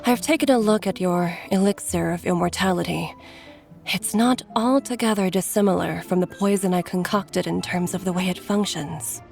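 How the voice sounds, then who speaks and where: A woman voicing a sharp, perceptive poison maker who speaks in a measured, probing, earnest way in a video game. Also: fairly low pitch, vocal fry, soft background music. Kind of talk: game voice acting.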